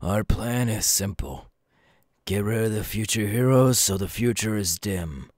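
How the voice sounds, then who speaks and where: Casting: male actor as a young villain recruiter for ASMR roleplay, low voice, dry close sound, deliberate and coolly menacing. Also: a little mellow, no room sound. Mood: sinister, calculating. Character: young adult male supervillain recruiter, cool, menacing, sly.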